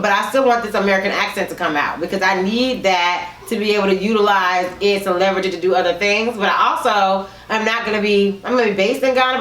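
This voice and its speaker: A woman in her thirties co-hosting a casual conversation, speaking animatedly and agreeing often, seated at a table indoors. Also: mid-range voice. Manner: animated, emphatic agreeing, frequent "right". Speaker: co-host. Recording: roomy echo.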